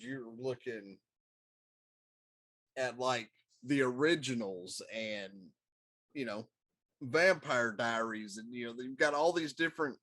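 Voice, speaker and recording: A man's voice: mid-range voice, fairly bright tone. A middle-aged man talking casually as a talk show co-host, meandering through his opinions. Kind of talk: casual chat.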